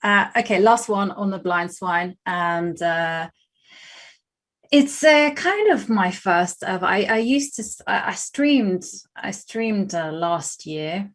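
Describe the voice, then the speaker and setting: A woman's voice: mid-range voice, lively intonation, slow pace. A British woman in her thirties chatting casually while playing chess on a livestream, rambling with lots of fillers and restarts. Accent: British English. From Britain. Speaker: chess streamer.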